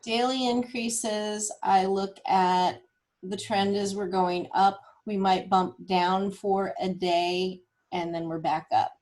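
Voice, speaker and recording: A woman giving an informal walkthrough of data, thinking aloud as she goes in a halting way. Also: mid-range voice, steady tone, darkish tone. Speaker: guest presenter explaining data; cancer research nurse.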